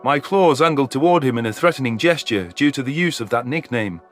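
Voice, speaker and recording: A man's voice: mid-range voice, lively intonation, brisk. A man reading scripted first-person science fiction narration as an audio story narrator. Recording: music under the voice.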